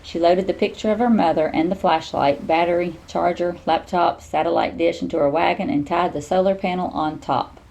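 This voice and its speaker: A woman reading a children's storybook aloud in an expressive, teacherly way. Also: fairly low pitch, moderate pace, gravelly, few pauses, rough recording.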